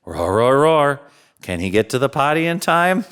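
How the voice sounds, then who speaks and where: A man's voice: medium pitch, very animated, moderate pace, a bit bright, smooth. A man reading a picture book aloud to young children as a playful storytime host, talking to them like friends. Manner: playful, chuckling, addressing young listeners as friends.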